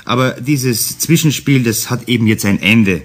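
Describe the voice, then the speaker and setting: A middle-aged father played by a man, stern but well-meaning. He speaks at a medium male pitch and lectures in a slightly condescending way, as in a children's radio drama. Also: moderate pace, natural voice. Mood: lecturing. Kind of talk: German children's radio play acting.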